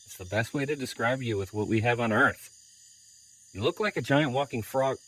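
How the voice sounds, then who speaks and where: A man's voice: mid-range voice, normal speed, close to an everyday voice, low-quality recording. A man playing a big, easygoing robot adventurer with a casual, protective manner in an audio drama. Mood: calming. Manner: casual, calm, conversational.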